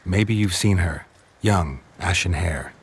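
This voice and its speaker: An actor playing a stoic, weary monster hunter in a video game, terse and deadpan with a gravelly, husky voice. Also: male voice, deep voice, slow pace, full character voice. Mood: even, guarded.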